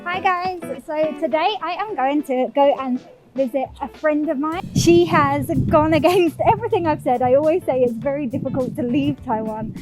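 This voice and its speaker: A woman's voice: high voice, moderate pace, a little mellow, soft background music. A British woman hosting a casual vlog, upbeat and laughing as she questions a friend. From Britain. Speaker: vlogger host.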